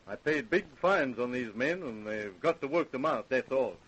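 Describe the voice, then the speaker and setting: An actor playing a callous plantation owner in a radio drama, gruff and self-justifying. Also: male voice, medium pitch, expressive, moderate pace, a bit bright, lo-fi sound. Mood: defensive. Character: defensive, callous Southern plantation owner, villain. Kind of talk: old-time radio drama acting.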